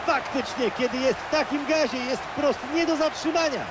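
Analytical male co-commentator, fairly high voice, loud crowd noise behind. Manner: measured, analytical, explains replays. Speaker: color commentator. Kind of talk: scripted football video game analysis.

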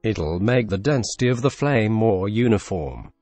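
A young man with a Russian accent narrating a software tutorial in a deadpan, dryly absurd scripted voice-over. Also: on the low side, moderate pace, soft background music. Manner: deadpan, dry absurd humor.

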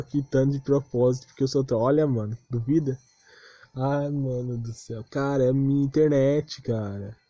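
A Brazilian man with a fairly high, mellow voice complains casually in a defensive, exasperated way. He talks off the cuff like a gamer explaining himself. The sound is dry and close, with a dull lo-fi muffle. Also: dry recording. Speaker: gaming video creator. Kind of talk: casual unscripted rant over game footage.

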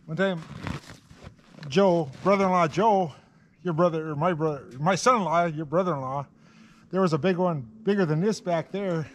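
A man's voice: a little high, normal speed, a little hiss or hum. Older man talking outdoors in snowy woods. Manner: plain-spoken, giving short commands to horses. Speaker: horse logger. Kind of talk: casual chat while working. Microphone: camera mic from a few meters away.

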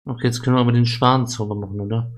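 A man's voice: medium pitch, steady tone, very fast. A man playing a game live, chatting casually as a streamer. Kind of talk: casual live playthrough chat. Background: game music.

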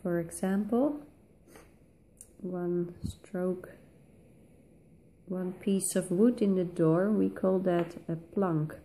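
A woman with a Dutch accent, a native Dutch speaker, talking slowly and thoughtfully with many pauses while colouring in an art tutorial. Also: lowish voice, fairly even intonation, warm tone, a little hiss or hum. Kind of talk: relaxed voice-over while colouring.

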